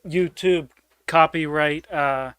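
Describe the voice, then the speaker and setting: A man's voice: on the high side, takes it slowly, a loud crowd. A man hosting an online improv show, wrapping up and thanking the audience over a video call. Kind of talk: casual wrap-up chat.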